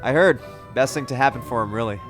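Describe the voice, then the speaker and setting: A man's voice: medium pitch, fast, music in the background, natural voice. A man playing a vain, sarcastic tech specialist with a flippant manner in a radio play.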